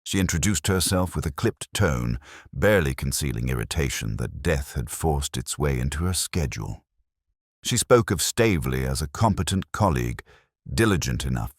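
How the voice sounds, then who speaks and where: A man playing a melancholy, introspective detective who narrates his memories in a measured, reflective literary style. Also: very deep voice, animated, husky, dry recording, clean studio sound, heavily acted. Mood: brooding, watchful. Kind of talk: slow literary first-person audio drama narration.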